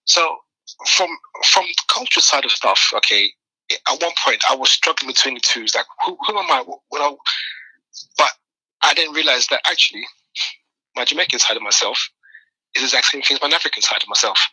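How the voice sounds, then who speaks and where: A man talks through his life story as an interview guest. His voice is very bright and forward, and the recording is dry and close. He tells it animatedly, stumbling and repeating words as he finds his point. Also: medium pitch, animated, fairly quick, slightly rough, no room sound, low-quality recording. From Nigeria. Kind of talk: conversational interview.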